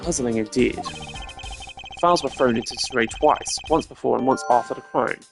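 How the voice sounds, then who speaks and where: A man voicing a cool, arrogant male prosecutor who talks in a measured, condescending, precise way, in a fan dub of a video game. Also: medium pitch, vocal fry, over music, close to an everyday voice. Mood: analytical.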